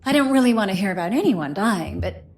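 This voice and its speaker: A woman playing a proud, overdramatic stage actress with a sharp tongue in a mystery game. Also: mid-range voice, big pitch swings, fast talker, soft background music. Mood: curt, peeved. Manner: theatrical, overdramatic. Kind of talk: scripted game dialogue.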